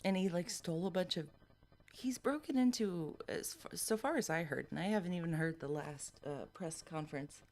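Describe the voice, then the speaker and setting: A woman's voice: on the low side, moderate pace, darkish tone, a little hiss or hum. An American woman chatting casually and unscripted as a livestream commentator, in a hesitant, rambling way. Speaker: livestream host commenting on police scanner.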